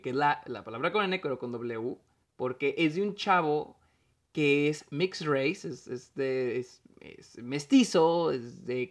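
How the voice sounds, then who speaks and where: A man with a Mexican accent giving a casual, rambling solo film review, full of restarts and dropped-in English words. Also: fairly high pitch.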